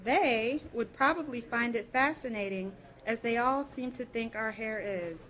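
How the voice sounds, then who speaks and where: A woman giving a dramatic first-person literary reading aloud, with an expressive, theatrical delivery. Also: fairly high pitch, unhurried. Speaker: reader at a library program.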